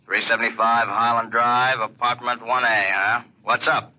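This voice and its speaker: A man playing a casual, brisk police desk officer in a 1940s radio drama. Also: mid-range voice, level tone, lightly acted. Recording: dull 1940s radio recording.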